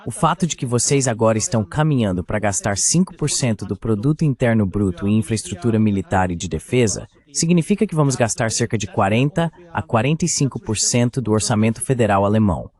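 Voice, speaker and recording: Male voice-over for a panelist, lowish pitch, insistent and argumentative. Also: lively intonation, quick pace, hardly any pauses, a little mellow. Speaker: voice-over interpreter for a panel guest. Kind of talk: translated voice-over of a panel discussion.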